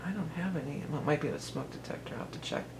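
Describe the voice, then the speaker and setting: A woman with a lowered voice, doing a chatty, rambling tarot reading for her vlog, full of fillers and restarts. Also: racing, creaky, darkish tone, a little hiss or hum. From Cleveland, Ohio. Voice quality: lower voice than she once had. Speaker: tarot reader and musician.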